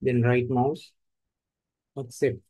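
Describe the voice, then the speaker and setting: A man with an Indian English accent giving terse, step-by-step instructions in a screen-recorded software tutorial. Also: mid-range voice, flat delivery, takes it slowly, dry recording.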